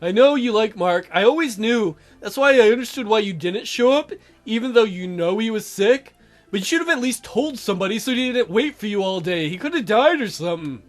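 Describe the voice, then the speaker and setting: An awkward teenage boy from a dating sim, as a man reads him, talks in a high, hesitant voice. He is offhand and mildly reproachful, with faint music underneath. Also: expressive, fairly quick. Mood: gently reproachful. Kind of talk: dating sim dialogue read aloud.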